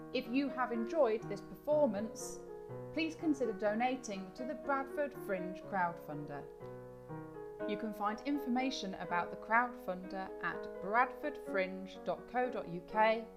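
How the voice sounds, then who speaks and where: A woman announcer reading scripted credits for a radio drama. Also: medium pitch. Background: piano music.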